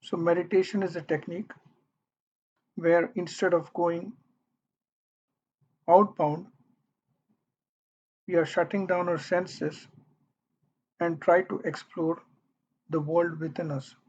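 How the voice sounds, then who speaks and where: A meditation guide speaking gently and deliberately, leaving long pauses between short phrases. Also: medium pitch, fairly even intonation, husky. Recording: dull, muffled lo-fi audio.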